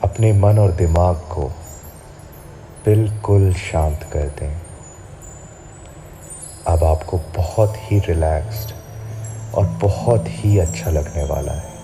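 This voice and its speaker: A man guiding listeners through a sleep hypnosis session, speaking slowly and softly to soothe them. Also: low voice. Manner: slow, soft, soothing guidance. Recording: music playing behind the voice.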